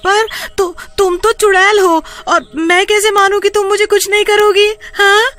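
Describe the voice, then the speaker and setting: A woman's voice: very high-pitched, level tone, normal speed, fairly bright tone, voice pushed up. A woman voicing a poor, anxious young elder sister in a story, earnest and pleading. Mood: terrified.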